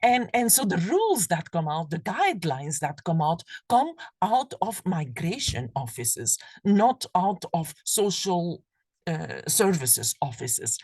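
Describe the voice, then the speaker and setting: A woman lecturing on an academic subject, her voice pitched on the low side with a soft, breathy edge. She speaks thoughtfully and restarts phrases as she reaches for the right word. The sound is dry, with almost no room around it. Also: very animated, slow, no echo. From Belgium. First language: Flemish. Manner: thoughtful, restarts phrases while searching for words. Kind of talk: university lecture.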